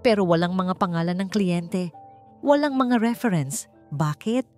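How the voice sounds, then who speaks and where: A Filipino woman voicing a cool, probing male architect in his thirties, in a measured, formal storybook manner. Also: lowish voice, a little mellow, lightly acted. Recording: music under the voice.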